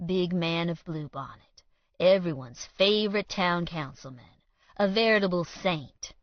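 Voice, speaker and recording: Woman voicing a slow-drawling tough guy. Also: sits low, dry recording. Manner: slow, quiet drawl, clipped. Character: tough rough-edged young man, tightly controlled anger. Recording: dull lo-fi dry read.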